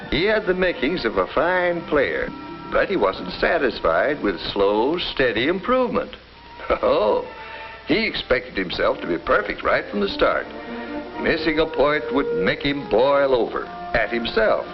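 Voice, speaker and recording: An actor playing a kindly, folksy family doctor who explains and reassures in a 1950s educational film. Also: male voice, mid-range voice. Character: kindly small-town family doctor recounting cases, reassuring. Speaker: narrator and doctor. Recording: dull old film soundtrack with music.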